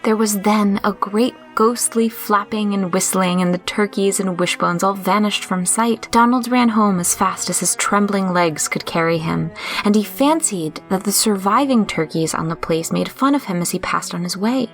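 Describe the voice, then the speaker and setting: A woman narrator tells a children's story for an audio drama. Her mid-pitched voice has a marked creak, with faint music behind it. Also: moderate pace.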